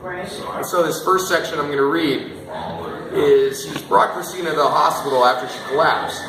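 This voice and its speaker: Male author, slightly high voice, hoarse, chatty with fillers, live reading in an echoey room with a crowd. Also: normal speed. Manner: chatty, many fillers, clears throat often. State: voice going hoarse. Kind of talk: reading aloud, then casual talk about his books. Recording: roomy echo with crowd behind.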